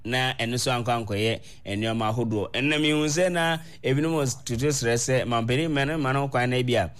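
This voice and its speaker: A man with a Ghanaian accent on a call-in radio discussion who speaks in a measured way and repeats his phrases. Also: mid-range voice, fairly bright tone, clean tone.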